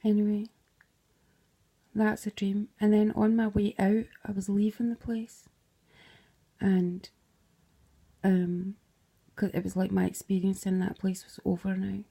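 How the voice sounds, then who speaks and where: A woman's voice: medium pitch, completely flat delivery, unhurried, gravelly, pauses often, mellow. A Scottish woman casually retelling a dream, rambling, slipping into whispered asides and swearing freely.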